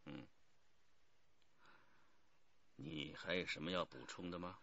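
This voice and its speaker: An actor playing a shrewd, commanding police detective chief in a radio drama, giving curt, authoritative orders. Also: male voice, very deep voice, slow pace, gravelly, bright, forceful tone, dull audio, exaggerated voice. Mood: stern.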